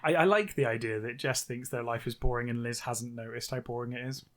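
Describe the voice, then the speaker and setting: A man's voice: mid-range voice, brisk, fairly bright tone. A man co-hosting a podcast, talking casually and jokily as he discusses a story. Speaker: podcast co-host.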